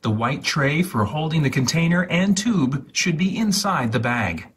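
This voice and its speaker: A man giving a deliberate, dramatic reading of step-by-step instructions with exaggerated enunciation, in a husky, breathy voice. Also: a little high, expressive, a bit bright, a little hiss or hum. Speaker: host.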